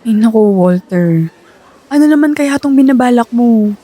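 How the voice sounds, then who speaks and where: Young girlfriend, radio drama: high voice, fretful, plaintive, doubting but trusting. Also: animated, moderate pace, mellow. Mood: nervous, pleading. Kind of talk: Filipino radio drama acting.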